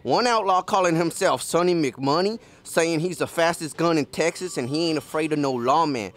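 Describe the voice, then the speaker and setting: An actor playing an excitable Old West townsman in an audio drama, rambling on urgently in rustic speech. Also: a little high, normal speed, close to an everyday voice. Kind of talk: western audio drama acting.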